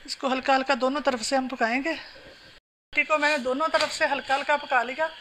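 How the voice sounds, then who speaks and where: A woman calmly explaining a home recipe step by step while she cooks. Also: high voice, normal speed. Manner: calm, instructional, talks while working. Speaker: home cooking channel host. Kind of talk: step-by-step cooking instructions. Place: home kitchen. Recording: noisy home phone recording.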